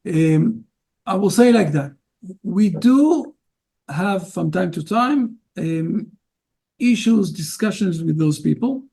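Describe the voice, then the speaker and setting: Gravelly high-pitched man, halting English. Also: animated, dark tone. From Israel. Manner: halting non-native English with frequent fillers. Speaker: rabbi. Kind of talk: interview guest.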